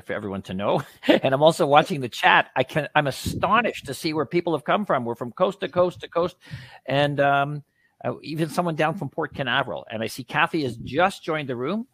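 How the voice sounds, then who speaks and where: A man's voice: medium pitch, lively intonation, a little fast, fairly bright tone, dry recording. A man moderating a live webinar in a chatty, rambling way. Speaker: panel host. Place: indoor room, video call. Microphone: video call microphone.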